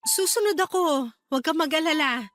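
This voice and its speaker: A woman playing a caring, gentle aunt in a radio drama, with an affectionate, reassuring manner. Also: sits high, fairly quick, no echo, studio quality. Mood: tender, reassuring. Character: caring, gentle aunt and small online seller. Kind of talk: Tagalog radio drama acting.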